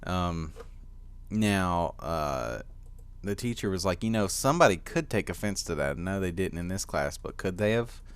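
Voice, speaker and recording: A teenage boy with a deep voice giving casual gameplay commentary, rambling with lots of fillers and easy laughs. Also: animated, moderate pace, clear, resonant tone. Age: teens. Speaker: gaming commentator.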